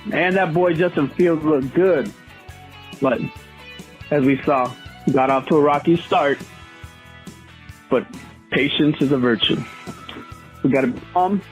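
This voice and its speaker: A man's voice: fairly high pitch, unhurried, pauses often, poor audio. A man leaving casual sports talk on a fan voicemail line. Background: music.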